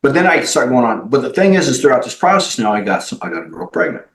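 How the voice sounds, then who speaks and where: A man, a company CEO, telling his life story as a podcast guest in an animated way, with many fillers and restarts. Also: medium pitch, fast. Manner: animated, acts out quoted dialogue, frequent fillers and restarts. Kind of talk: personal storytelling in a podcast interview. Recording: roomy echo.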